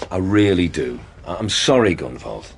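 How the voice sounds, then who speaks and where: An actor playing a gruff, defensive male policeman in a radio drama. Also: low-pitched, very animated, normal speed, soft background music. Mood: flustered, emphatic.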